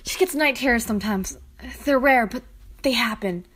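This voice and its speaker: Anxious teen girl for audio drama, voiced by a woman: highish, breathy, tentative. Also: moderate pace, a bit bright. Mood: worried, soft. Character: anxious, soft-hearted teenage girl. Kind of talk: amateur audio drama acting.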